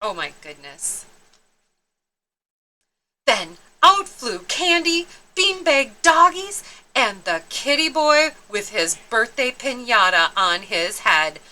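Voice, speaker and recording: A woman's voice: a little high, sing-song, slow pace, bright, forceful tone. A woman narrating a children's storybook expressively, with theatrical, dramatic emphasis.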